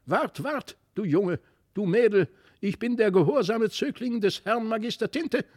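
A man's voice: high-pitched, lively intonation, darkish tone, husky, voice pushed up, exaggerated voice. A man voicing a spiteful female toy doll come to life, with an ugly squawk, in a fairy-tale reading. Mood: spiteful taunting. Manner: taunting, scolding, drawn-out threats.

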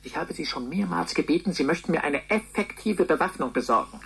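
Formal robot servant, high and lively. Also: male voice, brisk, vocal fry, slightly rough, exaggerated voice. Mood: apologetic, correct. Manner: formal, courteous, precise. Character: polite, dutiful robot servant. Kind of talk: science fiction radio play acting.

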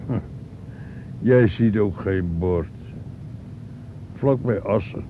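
An actor playing a worried, grumbling older husband in a naturalistic radio drama, speaking tersely. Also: male voice, slow. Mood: grudging. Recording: tinny, noisy recording with vehicle rumble.

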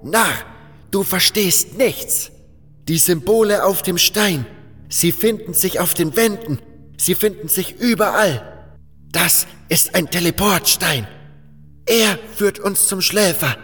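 A man's voice: sits high, slow pace, fairly bright tone, husky, in a large hall, faint music behind, pitched above the performer's own voice, full character voice. A man voicing a haughty, fanatical cult priest in a fantasy audio drama, proclaiming solemnly and scornfully. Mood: scornful, fervent.

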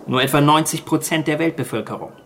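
A man's voice: a little high, quick pace, a bit bright, a little hiss or hum. A man playing a pragmatic survivor in a radio drama, with a dry, matter-of-fact delivery. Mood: sober. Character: pragmatic, well-informed island survivor. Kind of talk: naturalistic German radio drama acting.

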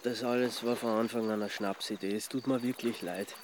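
A man's voice: medium pitch, steady tone, a little fast, a little hiss or hum, lightly acted. An actor as a dogged male Austrian amateur sleuth. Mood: reassuring. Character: Suchanek, dogged amateur sleuth, adult man, wry and urgent. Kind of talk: naturalistic radio drama acting.

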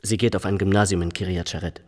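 An actor playing a brisk, businesslike police detective in a radio drama. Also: male voice, low-pitched, little pitch movement, darkish tone, in a large hall.

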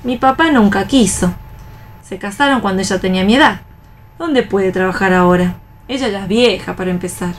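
An actress playing a defiant young newcomer at a factory in a radio play, outspoken and plaintive, with an Argentine accent. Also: female voice, medium pitch, moderate pace, slight background noise, natural voice. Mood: matter-of-fact. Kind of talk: radio read theatre.

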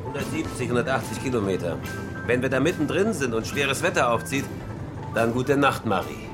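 An actor playing a gruff, weathered skipper of a small cutter in a German radio horror drama, cursing and using seaman's jargon. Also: medium pitch, expressive, brisk, close to an everyday voice. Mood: grim, ominous. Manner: curses, talks in seaman's jargon. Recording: sea noise and music behind voice.

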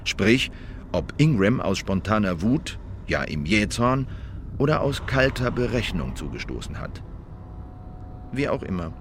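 An actor playing a male eyewitness who recounts a killing in a formal, measured, insistent manner in a scripted radio play. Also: fairly low pitch, sing-song, creaky, noisy background, exaggerated voice. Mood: measured, recounting. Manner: formal, measured, precise testimony. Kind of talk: scripted first-person radio drama narration.

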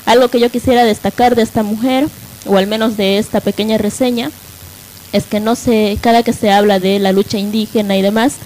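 A woman presenting on a live radio talk show, halting and often adding tag questions to seek agreement. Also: medium pitch. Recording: noisy live radio with steady hiss.

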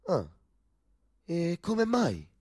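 An actor playing a cocky, coarse young slaughterhouse worker with a Roman accent in a radio play. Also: male voice, a little high, wildly swooping pitch, drawn-out words, a little mellow, husky, exaggerated voice. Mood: dismissive. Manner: coarse, teasing, blunt street talk. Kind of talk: stage-style radio drama dialogue in dialect.

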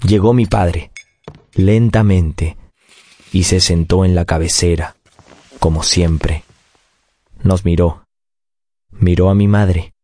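A man narrating a literary radio story in a measured, reflective, dramatic way. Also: low voice, steady tone, warm tone, dry recording.

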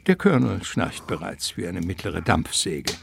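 A man narrating a comic adventure radio play as a wry, literary storyteller with measured delivery. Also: low voice, big pitch swings, vocal fry, noisy background, full character voice.

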